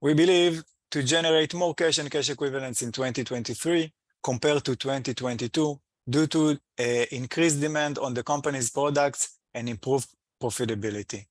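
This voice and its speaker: A man presenting company financial results as an executive on an earnings call, in a halting, hesitant delivery with slightly non-native phrasing. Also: mid-range voice, no room sound.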